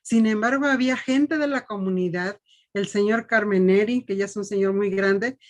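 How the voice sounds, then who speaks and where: A woman teacher telling her experience in a panel discussion, steady and earnest, from Mexico. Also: mid-range voice, moderate pace.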